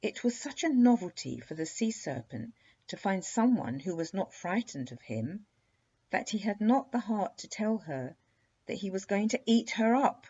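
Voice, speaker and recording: A woman reading a children's bedtime story as an expressive narrator. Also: mid-range voice, normal speed, husky, lo-fi sound.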